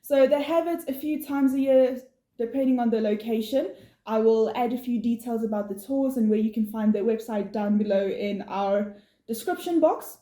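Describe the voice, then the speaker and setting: A woman from South Africa, not a native English speaker, co-hosting a vlog and explaining things in a steady, informative way with some fillers. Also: fairly high pitch, moderate pace. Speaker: vlog co-host. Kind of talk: casual chat, explaining. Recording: echoey room.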